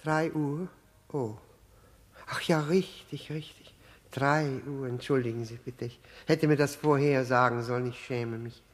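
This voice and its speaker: An actor playing an obsequious, self-abasing widower in a 1960s German radio drama, halting, apologetic and overly polite. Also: male voice, mid-range voice, steady tone, close to an everyday voice.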